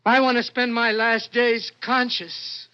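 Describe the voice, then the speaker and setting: A high-voiced, haunted condemned poet. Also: male voice, level tone, unhurried, bright, forceful tone, smooth, exaggerated voice. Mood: earnest. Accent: American. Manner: pleading, earnest, haunted. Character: desperate condemned poet clerk, gentle dreamer. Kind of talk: 1960s radio drama acting with first-person narration. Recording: dull old radio recording with music.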